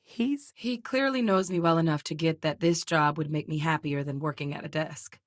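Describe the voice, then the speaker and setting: Low-voiced capable woman scientist soldier, acted by a woman. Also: normal speed, no echo, clean studio sound, lightly acted. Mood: earnest, reflective. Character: capable, guarded military scientist woman, candid and dutiful. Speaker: video game voice actress. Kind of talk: video game character voice lines.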